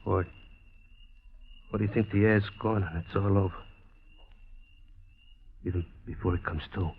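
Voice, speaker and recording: A man's voice: sits low, steady tone, normal speed, gravelly, slightly rough, exaggerated voice. An actor playing a nervous, reluctant male accomplice in a 1940s radio drama, hesitant and repeating his words in fear. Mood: haunted, hesitant. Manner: nervous, hesitant, stammering repetitions. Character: jittery, reluctant male accomplice, fearful and guilt-ridden. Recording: muffled 1940s radio recording.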